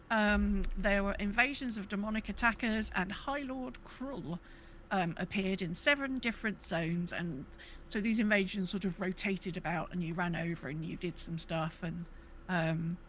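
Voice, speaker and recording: A woman's voice: medium pitch, a bit bright, slight background noise. A British woman co-hosting a podcast, chatting casually and informally about a video game. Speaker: podcast co-host.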